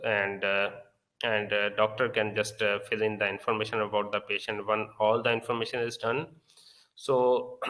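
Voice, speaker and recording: A man with a Pakistani accent giving a conference talk, halting often with long filler pauses. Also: fairly low pitch, sharp tone, low-quality recording. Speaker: conference speaker.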